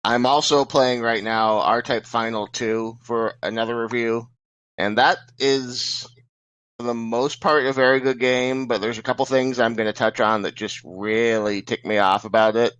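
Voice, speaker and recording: A man with a medium-pitched voice talks casually on a panel. His delivery is plain and measured, like someone giving an informal opinion among colleagues. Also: steady tone, a bit bright, clean tone, muffled recording. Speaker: podcast panelist. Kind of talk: casual, measured gaming chat.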